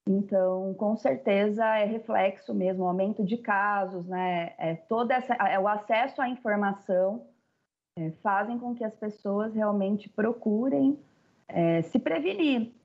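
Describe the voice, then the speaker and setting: A woman in her thirties with a Brazilian accent, explaining haltingly in an interview over a video call. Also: medium pitch, highs cut off. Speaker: pharmacy professional interviewee. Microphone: video call with earphones.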